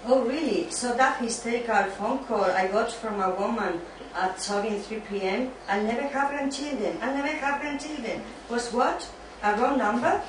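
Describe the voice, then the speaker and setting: Echoey noisy room, amateur reader as caring, teasing young woman, slightly high voice, sitcom script. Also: normal speed, natural voice. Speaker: student in an amateur dramatized reading. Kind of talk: amateur dramatized sitcom reading. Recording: distant, very echoey room, clear noise.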